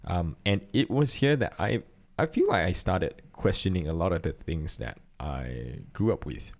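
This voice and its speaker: Low-voiced Singaporean man, earnest. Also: expressive, normal speed. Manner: earnest, thinks aloud with restarts. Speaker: podcast co-host. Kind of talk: casual chat.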